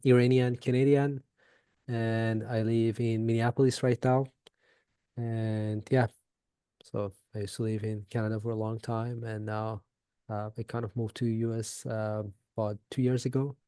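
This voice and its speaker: A young man from Iran chats as a podcast guest, pausing often with fillers and restarts. His voice is mellow and fairly flat in tone. The recording is dry and close. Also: mid-range voice, clear, resonant tone, dry recording. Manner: hesitant, many fillers and restarts. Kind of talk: casual interview chat.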